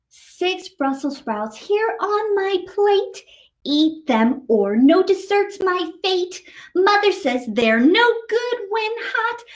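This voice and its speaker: Very high-pitched, playful woman hosting kids' story time. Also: fairly bright tone. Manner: playful, exaggerated, sing-song delivery with gasps; addresses young children directly with questions. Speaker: children's story time host. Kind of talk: live read-aloud and sing-along for kids.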